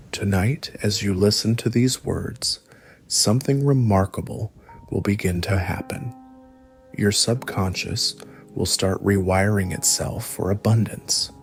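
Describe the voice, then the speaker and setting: A man narrating a scripted guided sleep hypnosis in a soothing, measured way, with breathy phrase endings. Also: on the low side. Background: background music.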